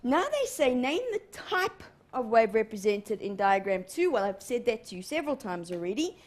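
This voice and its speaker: A woman in her thirties teaching a live televised lesson in a studio, animated and often checking in with "okay?". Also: a little high, normal speed. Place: TV studio in front of a large screen.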